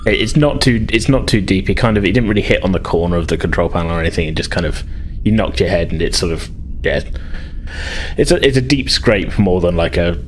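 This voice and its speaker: A man improvising casually as a tabletop roleplay player, halting and informal, often repeating his words. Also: sits low, animated, a little hiss or hum.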